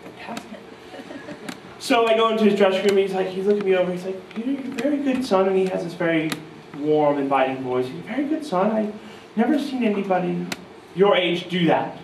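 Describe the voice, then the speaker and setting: A man in his thirties from New York state, telling animated, self-mocking stories to a live audience at a guitar clinic. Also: sits high, brisk, creaky, slight background noise. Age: 30s. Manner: animated, self-mocking, mimics voices in quotes. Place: music store clinic room, audience present. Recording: roomy live sound from far off.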